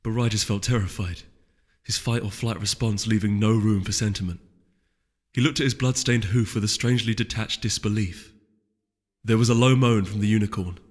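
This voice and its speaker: A man reading fantasy fiction as a story narrator, in an expressive, suspenseful scripted style. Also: lowish voice, moderate pace. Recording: long hall reverb under music.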